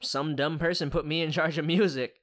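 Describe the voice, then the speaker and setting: A woman's voice: sits low, steady tone, fairly quick. A young woman co-hosting a podcast in casual chat, rambling through stories in a laid-back way. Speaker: podcast co-host.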